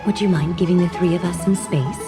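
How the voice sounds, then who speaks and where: A woman's voice: lowish voice, fairly quick, over loud music, close to an everyday voice. An actress voicing a poised, gracious noblewoman in a video game, speaking formally and courteously. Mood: composed, gracious. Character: poised, gracious noblewoman leading a holy city.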